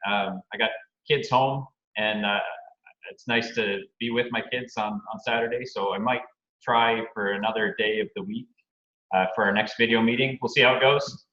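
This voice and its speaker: A man's voice: medium pitch, sharp tone. A man from Canada hosting a casual video meeting, easygoing and full of fillers and restarts. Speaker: meeting host. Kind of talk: casual video-call chat. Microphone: video call. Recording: lo-fi echoey video call.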